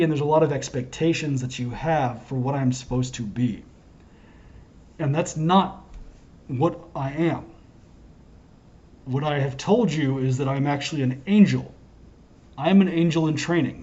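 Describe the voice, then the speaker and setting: Hoarse man rambling in a monologue. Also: fairly high pitch, darkish tone, highs cut off. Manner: wandering, self-interrupting, earnest. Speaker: self-styled prophet vlogger. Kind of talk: rambling solo monologue to camera.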